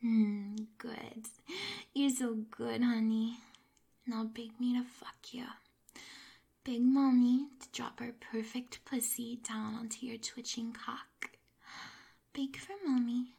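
A high-voiced woman murmurs in slow, breathy whispers, drawing out her words. Her delivery is soft and coaxing, and she stays close to the microphone throughout. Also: level tone. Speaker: erotic audio performer. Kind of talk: intimate whispered roleplay audio.

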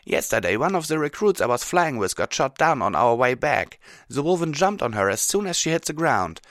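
A man voicing a hot-headed, proud female cartoon pony with a forceful, defiant delivery. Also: mid-range voice, very animated, fairly quick, no echo, studio quality, pitched above the performer's own voice. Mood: angry, defiant.

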